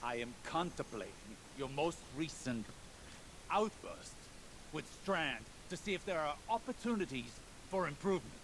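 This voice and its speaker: An actor playing a proud, stern, aging warrior-scholar mentor in a game, with formal, clipped, brooding speech. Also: male voice, on the high side, expressive, moderate pace, noisy background, close to an everyday voice. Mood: stern, frustrated. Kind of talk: dramatic game cutscene dialogue.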